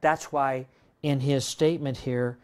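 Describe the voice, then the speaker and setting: A man in his sixties preaching a sermon in a church room, conversational, tossing in "right?" and swinging between loud and soft. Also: mid-range voice, fairly even intonation, unhurried, smooth. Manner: conversational preaching, tag questions, dynamic swings in loudness. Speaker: pastor. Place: church room with projector screen.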